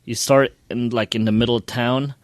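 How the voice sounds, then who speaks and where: A man's voice: medium pitch, steady tone, moderate pace, no room sound. A man co-hosting a podcast, telling stories casually with emphatic, repetitive phrasing. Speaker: podcast co-host. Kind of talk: casual storytelling.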